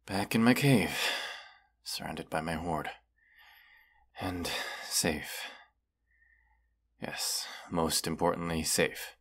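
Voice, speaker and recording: A man's voice: low-pitched, fairly bright tone, breathy edge, heavily acted. A man playing a proud, menacing, weary dragon who distrusts humans, talking haughtily in a fantasy audio roleplay. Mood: weary, bitter. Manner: slow, deliberate, haughty, with long pauses. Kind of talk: scripted audio roleplay monologue.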